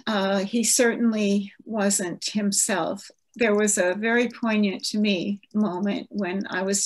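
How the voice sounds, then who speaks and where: Reflective woman in her seventies. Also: mid-range voice. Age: 70s. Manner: reflective, gentle, with frequent restarts. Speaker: author. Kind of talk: virtual book event conversation. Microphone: video call.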